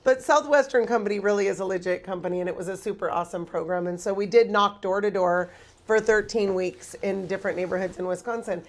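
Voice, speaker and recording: A woman from California with a slightly Midwestern American accent, chatting and telling stories as a podcast co-host in a teasing, animated way. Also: medium pitch, fast talker. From California, United States. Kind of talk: casual chatty podcast talk with anecdotes.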